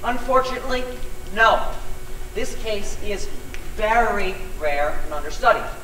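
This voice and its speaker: A man's voice: sits high, animated, measured pace, sharp tone, exaggerated voice. A man acting a blunt, grim neurologist with formal delivery in an amateur stage play. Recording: echoey stage from far, faint noise.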